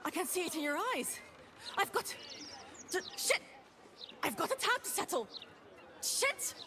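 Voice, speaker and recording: A woman's voice: very high voice, big pitch swings, a little slow, bright, forceful tone, a little hiss or hum, heavily acted. A woman playing a wry, frightened commoner in a video game scene. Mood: alarmed. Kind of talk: game dialogue acting.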